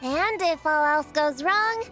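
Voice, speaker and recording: A woman's voice: high-pitched, slow, faint music behind, heavily acted. A woman voicing an earnest, brainy little girl who talks self-importantly like a grown-up, in an anime game. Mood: confident lecturing. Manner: precocious, self-important, animated.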